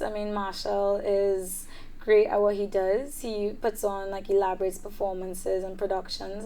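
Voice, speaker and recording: Young woman, casual interviewee, mid-range voice, relaxed filler-heavy delivery. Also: mid-range voice, a little hiss or hum. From Trinidad and Tobago. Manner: chatty, frequent "um" fillers and soft laughs. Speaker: singer-songwriter guest.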